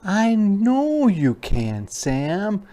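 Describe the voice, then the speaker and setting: A man voicing a gentle, encouraging mother bear in a children's storybook, coaxing with drawn-out words. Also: high voice, huge pitch swings, pitched above the performer's own voice, exaggerated voice. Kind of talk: children's storybook read-aloud.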